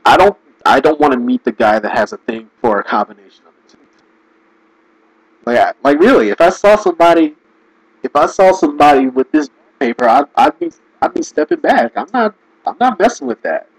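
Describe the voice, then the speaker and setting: A man giving casual, rambling commentary, restarting sentences and laughing often, his voice going hoarse. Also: fairly high pitch, sing-song, brisk, no echo, poor audio. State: losing his voice. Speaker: video commentator.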